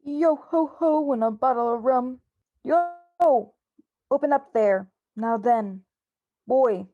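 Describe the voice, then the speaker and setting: A woman playing a gruff, bossy old male sea captain in an amateur radio drama, with salty sailor slang. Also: sits high, slow pace, phone-quality sound. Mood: rowdy. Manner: nautical slang, barked orders.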